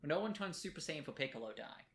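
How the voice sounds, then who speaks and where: A man's voice: a little high, lively intonation, fast talker, a bit bright. A man co-hosting a casual podcast from a kitchen table at home, talking eagerly and rambling a bit. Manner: enthusiastic, rambling, repeats words. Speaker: podcast co-host. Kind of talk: casual chat.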